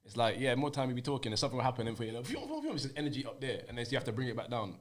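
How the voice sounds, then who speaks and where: A man's voice: medium pitch, steady tone. A man, a music group member chatting casually as an interview guest. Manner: fast, slangy, talks over others.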